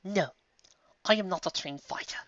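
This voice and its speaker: A man voicing a refined, reserved female cellist pony, speaking softly and with restraint, on a dry, slightly dull recording. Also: medium pitch, moderate pace, no echo, voice pushed up, close to an everyday voice. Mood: restrained. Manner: soft, restrained inner speech.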